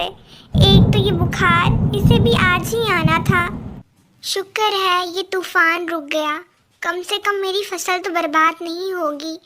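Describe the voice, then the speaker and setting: A woman's voice: very high-pitched, heavy background noise. A woman voicing a hardworking, anxious mother bird in a cartoon story, speaking in an earnest, pleading manner. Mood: worried. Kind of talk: Hindi cartoon story dialogue.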